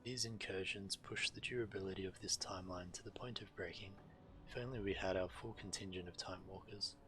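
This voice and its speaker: A man reading quest dialogue aloud in a measured way while streaming a game. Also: deep voice, fairly even intonation, low-quality recording.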